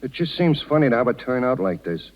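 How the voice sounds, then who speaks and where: An actor playing a loyal, wounded circus man with folksy, halting, ungrammatical speech in a 1930s radio drama. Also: mid-range voice, big pitch swings, close to an everyday voice. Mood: wounded, resigned. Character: plain-spoken circus man, loyal, wounded, protective of Polly. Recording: muffled 1930s radio broadcast with long reverb.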